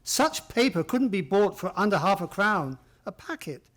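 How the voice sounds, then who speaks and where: Radio drama loyal gentleman sidekick: high male voice, very expressive, inquisitive, mildly hesitant. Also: moderate pace, exaggerated voice. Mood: puzzled, pondering. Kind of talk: radio play acting.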